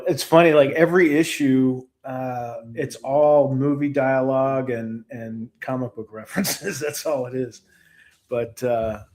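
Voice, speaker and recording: A man's voice: medium pitch, darkish tone. A man who creates comic books, a podcast guest telling rambling, laid-back, self-mocking stories. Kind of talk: casual storytelling.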